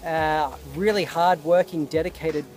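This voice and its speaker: A man's voice: on the high side, steady tone, unhurried. A man talking casually and earnestly to camera outdoors, reflecting with enthusiasm. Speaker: vlogger and permaculture intern. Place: outdoors at a desert garden site. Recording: loud music behind the voice.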